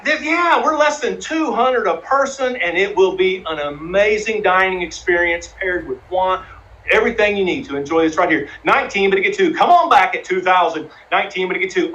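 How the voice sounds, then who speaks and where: A man running a charity auction, rattling off bids in a rolling chant and urging bidders to click once more. His voice sits high and rings bright and tense. He keeps the numbers coming without a break. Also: expressive, highs cut off. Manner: fast rhythmic number calling, coaxing bidders. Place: live streamed fundraising event.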